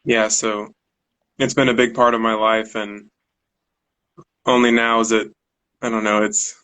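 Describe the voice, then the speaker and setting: Relaxed man, rambling guest. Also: on the low side, measured pace, poor audio. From United States. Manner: relaxed, rambling, filler-heavy. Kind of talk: casual online conversation. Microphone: video call.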